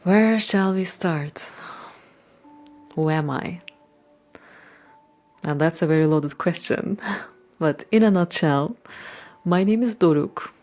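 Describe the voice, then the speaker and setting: A middle-aged woman from Turkey with a Turkish accent, talking reflectively to her followers in measured, deliberate short phrases. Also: fairly low pitch, a little mellow, faint music behind. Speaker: channel host and coach.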